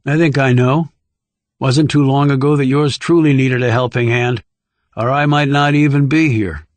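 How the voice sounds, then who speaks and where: A man's voice: mid-range voice, steady tone, normal speed, no room sound, close to an everyday voice. A man voicing a kindly, wry guardian in audiobook dialogue. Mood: kind, sincere.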